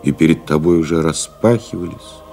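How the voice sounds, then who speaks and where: An actor playing a pilot's devoted friend, solemnly retelling the ordeal in measured, rhetorical literary radio play narration. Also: male voice, extremely low pitch, warm tone, faint music behind. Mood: hypnotic, intense. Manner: grave, measured, rhetorical, building in intensity.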